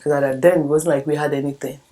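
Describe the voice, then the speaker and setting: A woman's voice: deep voice, steady tone, fast, mellow, a little hiss or hum. A Nigerian woman in her fifties, a native Yoruba speaker, telling her life story for a documentary and acting out the conversations she recalls. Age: 50s. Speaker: documentary subject. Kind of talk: personal life story told to camera.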